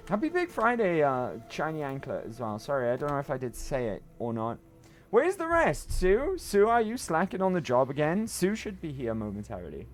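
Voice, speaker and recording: Croaky, lively-voiced male game streamer. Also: on the high side. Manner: chatty, jumpy exclamations, greets viewers. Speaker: livestreamer. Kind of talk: casual gameplay livestream chat.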